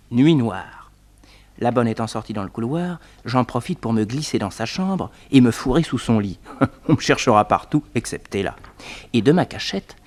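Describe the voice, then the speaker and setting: A man's voice: mid-range voice, slight background noise, close to an everyday voice. Guy playing young detective telling a suspenseful story, mid voice, vintage radio serial. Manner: storytelling, suspenseful, addressing listeners. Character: jaunty young male detective telling his own adventure. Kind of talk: French radio serial narration, 1960s.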